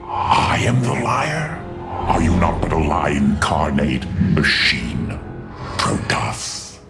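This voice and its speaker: An actor playing a haughty, menacing alien warlord with a gravelly voice, mocking and scheming in a game cutscene. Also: male voice, very deep voice, sing-song, slow pace, over loud music, heavily acted. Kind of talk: dramatic game cutscene acting.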